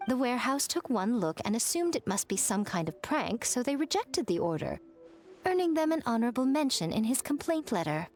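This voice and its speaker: A woman's voice: a little high, big pitch swings, brisk, darkish tone, faint music behind. A woman voicing a poised, sly and teasing adult shrine priestess in a video game.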